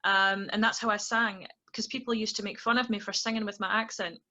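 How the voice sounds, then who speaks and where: A Scottish woman chats as an interview guest, quick to laugh softly at herself. Her voice is bright and forceful. The audio comes through dull and muffled. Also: medium pitch, little pitch movement. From Scotland. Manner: soft laughs, easygoing and self-deprecating. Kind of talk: casual interview chat.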